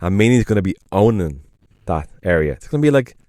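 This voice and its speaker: A man's voice: deep voice, very animated, fast talker, dark tone, no echo, studio quality. An Irish man co-hosting a podcast, chatting casually and enthusiastically, rambling and correcting himself as he goes. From Ireland. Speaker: podcast co-host. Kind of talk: casual chat.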